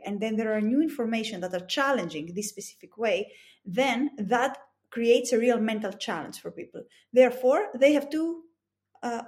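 A woman's voice: fairly high pitch, darkish tone. A woman with a Greek accent giving methodical, explanatory answers with examples as an interview guest. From Greece. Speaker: interview guest, business coach and psychology expert.

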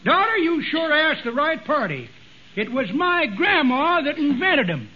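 A man's voice: extremely high pitch, very animated, unhurried, full character voice. A man playing a folksy old male tall-tale teller in a 1940s radio comedy, drawling and chuckling, on a dull old radio recording. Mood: folksy yarning. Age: older.

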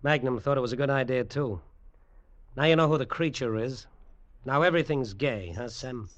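An actor playing a tough, cynical private detective, wry and clipped, in an old radio drama. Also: male voice, mid-range voice, moderate pace, no echo, lo-fi sound, lightly acted. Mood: wry, gruff. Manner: wry, clipped, hard-boiled. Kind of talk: 1940s-50s radio acting, first-person narration and dialogue. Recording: vintage radio drama with music.

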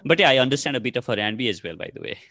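A man's voice: medium pitch, big pitch swings, racing, bright, forceful tone, no echo. A man in his thirties from Nepal, whose first language is Nepali, answering interview questions casually with many fillers and restarts. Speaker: podcast host, guest here.

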